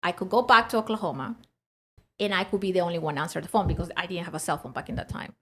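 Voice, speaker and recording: A woman's voice: on the low side, animated, quick pace. A woman from Peru speaking English with a Peruvian Spanish accent, telling her story animatedly in a casual interview and laughing often. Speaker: guest.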